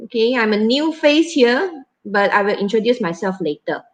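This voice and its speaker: A woman in her thirties with a Malaysian English accent, moderating a casual live stream in a chatty, halting way with many fillers and restarts. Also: on the high side, animated.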